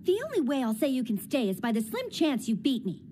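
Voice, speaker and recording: Shrill, bossy anime teacher. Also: female voice, wildly swooping pitch, brisk, dark tone, a little hiss or hum. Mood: challenging, cocky. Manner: brash, theatrical, scolding. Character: bossy, excitable, protective young female teacher. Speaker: voice actor in a fan anime dub. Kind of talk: anime dub acting.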